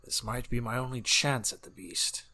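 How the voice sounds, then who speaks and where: A man playing a gruff, earnest, vengeful archer in a fantasy audio drama, speaking tersely in a husky voice. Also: mid-range voice, normal speed, pushed and bright. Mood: regretful, determined. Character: gruff, vengeful male archer of the forest, plain-spoken. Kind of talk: tabletop-inspired audio drama acting.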